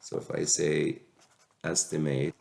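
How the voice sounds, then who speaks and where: Sick male math teacher, slow halting lecture delivery, very low voice. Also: little pitch movement, creaky, a little mellow. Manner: slow, halting explanation with restarts. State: sick with a cold. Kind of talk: recorded calculus lecture.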